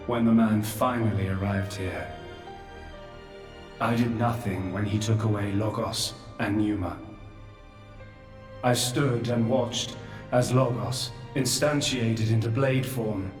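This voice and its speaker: An actor playing an ancient, remorseful creator figure who speaks slowly and gravely. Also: male voice, fairly low pitch. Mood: solemn. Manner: slow, grave, measured. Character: ancient godlike male creator, solemn and remorseful. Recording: echoey far-off voice with hall reverb and music.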